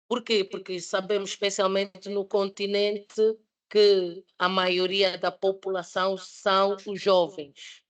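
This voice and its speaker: Slow, halting woman presenter. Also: medium pitch, flat delivery. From Switzerland. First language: not Portuguese. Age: 30s. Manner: slow, hesitant, many fillers and restarts. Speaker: project presenter. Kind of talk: presentation at a panel debate.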